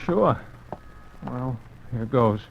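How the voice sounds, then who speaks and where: A jittery young man under suspicion, very animated pitch, noisy dull vintage recording. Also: extremely slow, gravelly, exaggerated voice. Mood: nervous. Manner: anxious, hesitant, uncertain. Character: scared young man suspected of murder. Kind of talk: 1940s radio acting. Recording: noisy, dull old radio broadcast.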